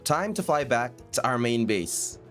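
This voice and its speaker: Guy narrating his minecraft run, raspy from a cold, chatty and self-mocking, faint music under it. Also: mid-range voice, animated, unhurried. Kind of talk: gameplay voice-over narration.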